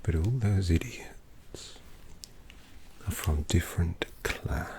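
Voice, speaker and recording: A man voicing a sardonic old male vampire painter who drawls intimately in a gravelly whisper, as an ASMR roleplay. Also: low voice, expressive, takes it slowly, a little mellow, heavily acted. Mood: snide whisper.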